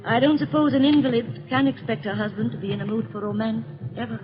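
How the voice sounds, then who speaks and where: A woman playing a gentle, devoted invalid wife, hesitant and anxious to please, with refined old radio drama delivery. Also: on the high side, quick pace, lightly acted. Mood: pleading, wounded. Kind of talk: 1930s radio drama acting. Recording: muffled old radio recording.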